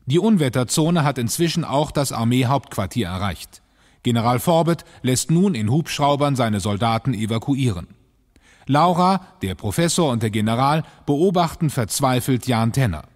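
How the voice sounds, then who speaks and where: A man's voice: medium pitch, animated, moderate pace, close to an everyday voice. A man giving dramatic scripted narration for an audio drama. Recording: long hall reverb.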